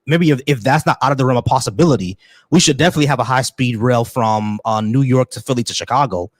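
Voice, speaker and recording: A man's voice: mid-range voice, no room sound. A man in his thirties hosting a casual political call-in show, slangy with many restarts. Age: 30s. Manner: rapid, repetitive restarts, slangy. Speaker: host.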